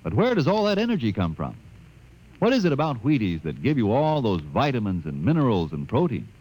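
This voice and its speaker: A man's voice: mid-range voice, huge pitch swings, a little fast, a little mellow, dull audio. A man giving a radio cereal commercial in a chatty, folksy and persuasive way. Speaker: commercial announcer.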